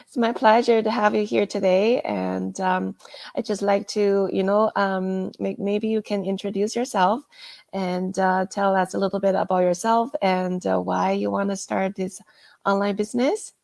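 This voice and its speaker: A woman hosting a live online interview chat, speaking in a hesitant, halting way. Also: medium pitch, steady tone, vocal fry, darkish tone, no echo. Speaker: host interviewer.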